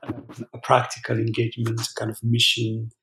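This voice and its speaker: A man, a pastor answering interview questions haltingly, with many fillers and restarts. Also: medium pitch, monotone, bright, forceful tone. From Ethiopia. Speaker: pastor guest. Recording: roomy echo.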